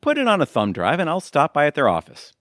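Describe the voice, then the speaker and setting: A man's voice: on the high side, wildly swooping pitch, quick pace, fairly bright tone, dry recording, studio quality. A man playing a goofy, guileless middle-aged husband in a comedy audio drama, eager and a little wry. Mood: eager, clueless. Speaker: voice actor playing the lead. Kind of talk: community audio theatre comedy acting.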